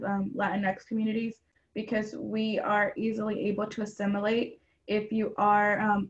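A woman's voice: medium pitch, highs cut off. A young woman from a Mexican household in Atlanta answering interview questions earnestly, with frequent ums and you-knows. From Mexican household in Atlanta, Georgia. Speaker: guest.

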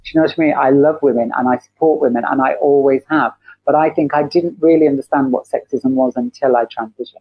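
A woman's voice: rumbling low, fairly even intonation, fast, breathy edge, no echo. A middle-aged woman talking candidly as a podcast guest, repeating phrases for emphasis, on a dull recording. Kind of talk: candid conversational interview. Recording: dull lo-fi, dry close sound.

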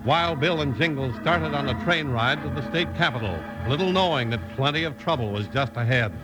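A man's voice: medium pitch, fairly quick, over loud music, low-quality recording. A man announcing in a hearty, enthusiastic 1950s radio style, with showman flair. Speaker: announcer and narrator.

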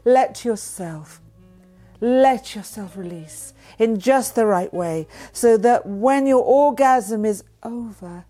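A woman reading a sensual guided meditation in a breathy voice that often drops into a whisper. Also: mid-range voice. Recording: voice over soft background music. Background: music.

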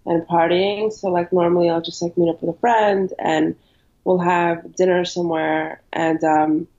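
A woman in her thirties, born in Ukraine and raised in New York, answers interview questions in a casual, hesitant way, often pausing on ums. Her voice sits low for a woman. The audio is dull and lo-fi. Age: 30s. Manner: relaxed, hesitant with frequent ums. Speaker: interview guest.

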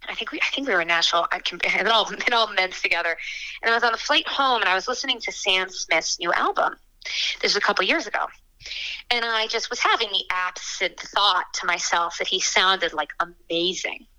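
A young woman singer-songwriter answering interview questions in a chatty, frank way, with quick asides and fillers. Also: medium pitch, sharp tone, poor audio.

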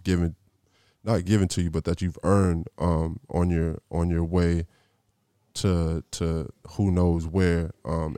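A man's voice: deep voice, dark tone, clean tone, no room sound. A man hosting a podcast, telling a rambling, slangy story with lots of restarts. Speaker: podcast host. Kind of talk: casual interview intro.

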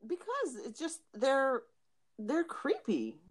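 A woman co-hosting a casual chat. Her pitch moves all over the place as she reacts, and she laughs easily. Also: huge pitch swings, takes it slowly.

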